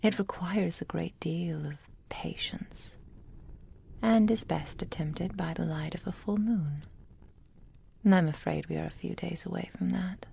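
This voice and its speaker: A woman playing a possessive, manipulative witch lover in an intimate ASMR roleplay, whispering in a formal, measured, softly controlling way. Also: fairly low pitch, heavily acted. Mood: tender, coy. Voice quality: breathy, mostly whispered.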